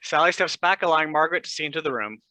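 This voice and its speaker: A man reading stage directions aloud in a brisk, matter-of-fact way. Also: on the high side, animated, bright, forceful tone. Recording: dry close recording.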